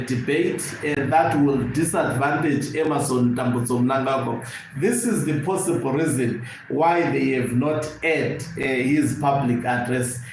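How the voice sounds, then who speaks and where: Echoey, higher-voiced Zimbabwean man commenting. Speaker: online news channel host and political analyst. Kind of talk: live political commentary. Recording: very echoey room, faint background noise.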